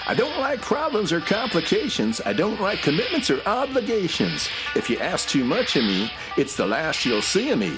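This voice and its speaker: Breezy drifter among the stars, played by a man: folksy, wildly swinging pitch, clear music underneath. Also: huge pitch swings, moderate pace, few pauses, rough recording. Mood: jaunty, sing-song. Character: carefree hobo constellation, dashing scruffy male drifter. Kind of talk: children's radio musical acting.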